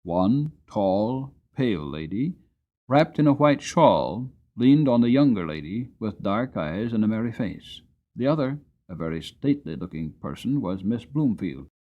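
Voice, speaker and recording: A man narrating an audiobook story in the first person, with a dramatic, gentle storytelling manner. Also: lowish voice, very animated, unhurried, phone-quality sound.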